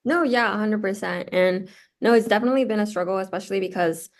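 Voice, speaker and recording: A young woman, talking casually as a guest in an interview. Her pitch sits in the middle of the female range. She strings her thoughts together with a constant stream of "like" and "um.". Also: fast talker. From born in Peru, raised in the United States. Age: 20s. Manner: chatty, heavy use of "like" fillers. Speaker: guest, law student.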